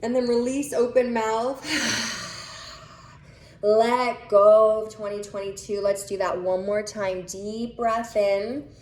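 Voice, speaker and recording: A woman leading a guided breathing visualization in a soothing, unhurried manner with pauses between phrases. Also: mid-range voice, steady tone, darkish tone. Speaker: guided meditation leader. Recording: echoey room with faint noise.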